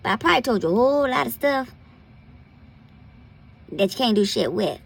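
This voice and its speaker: Lively, gravelly American woman giving blunt advice. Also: on the high side, normal speed. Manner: blunt, profanity-laced, folksy terms of address. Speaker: health commentary host and nurse practitioner. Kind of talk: candid, informal advice monologue.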